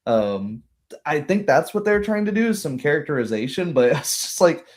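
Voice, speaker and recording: A man's voice: fairly high pitch, big pitch swings, normal speed. A man chatting casually as a game master, talking in a rambling way and acting out imagined quotes as he goes. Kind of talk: casual chat about a tabletop game session.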